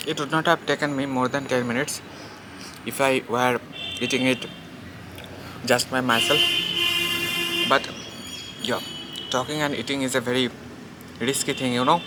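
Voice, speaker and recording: A man's voice: medium pitch, fairly even intonation, moderate pace, pauses often, very noisy. Chatty Bengali man vlogging outdoors. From India. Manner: rambling, repeats himself, chatty asides to viewers. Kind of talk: casual vlog while eating outdoors. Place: public place outdoors.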